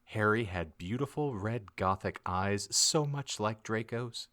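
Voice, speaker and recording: A man's voice: fairly low pitch, unhurried, bright, forceful tone. A man reading fan fiction aloud as comic audiobook narration, theatrical and mock-serious. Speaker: podcast host reading an audiobook.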